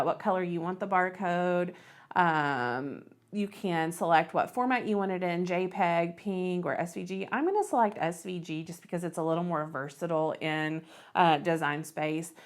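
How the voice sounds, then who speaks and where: A woman hosting a casual step-by-step craft software tutorial, thinking aloud as she works. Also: fairly low pitch. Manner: thinks aloud while working.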